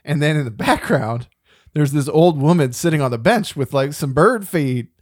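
A man co-hosting a podcast, chatting about a movie in a relaxed, profane way with chuckling asides. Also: fairly high pitch, moderate pace, no echo, clean studio sound. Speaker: podcast co-host. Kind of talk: casual movie review chat.

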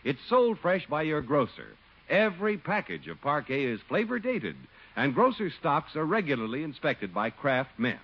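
Highly animated American radio announcer, male. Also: moderate pace, breathy edge. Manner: emphatic sales delivery. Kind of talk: 1950s scripted radio commercial announcing. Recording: dull 1950s radio recording.

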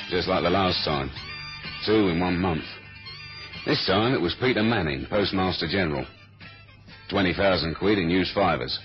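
A very low-pitched tough guy, played by a male actor, cool and laconic as a secret agent in a seventies radio thriller. He speaks in blunt slang and never sounds unsure. The old broadcast sound is muffled. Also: close to an everyday voice. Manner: laconic, slangy, matter-of-fact. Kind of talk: 1970s radio drama acting and first-person narration.

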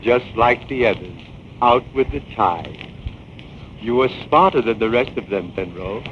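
Scrawny, a sly murderous crook. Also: male voice, fairly low pitch, animated, unhurried. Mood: gloating. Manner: whining, wheedling, sinister laughing. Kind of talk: 1940s radio acting. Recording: dull old radio transcription with hiss.

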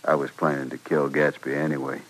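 An actor playing a blunt, candid gunman of the Old West in a 1950s radio western, halting and plainspoken. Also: extremely low pitch, flat delivery, breathy edge, poor audio. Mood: subdued confession. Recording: vintage 1950s radio drama recording.

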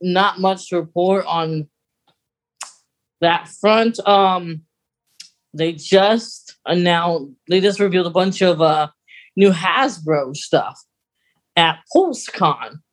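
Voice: mid-range voice, slow, pauses often. A podcast co-host chatting casually about fan news in a wry, conversational way.

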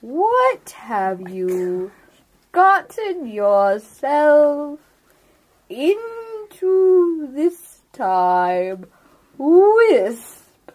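Painfully slow, high-voiced old turtle. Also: female voice, very animated, darkish tone, exaggerated voice. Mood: slow, unhurried. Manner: drawn-out, very slow delivery with long pauses. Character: slow-talking elderly turtle, unhurried and gentle.

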